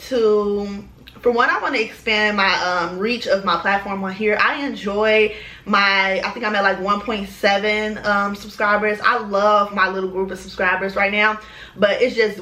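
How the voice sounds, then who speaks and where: Casting note: woman, bright voice in an echoey room, loose and chatty. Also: mid-range voice. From Chicago, US. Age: 20s. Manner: chatty, rambling with many ums and slang. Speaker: lifestyle vlogger. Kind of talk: casual chat to camera.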